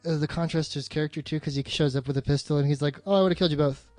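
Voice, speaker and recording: A man with a fairly high voice co-hosts a loose, slangy film chat. His sound is dry, with faint music behind it. He swears often and backs points up with enthusiasm. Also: fairly even intonation, rapid-fire, darkish tone, dry recording. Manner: slangy, profane, agreeing enthusiastically. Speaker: podcast co-host.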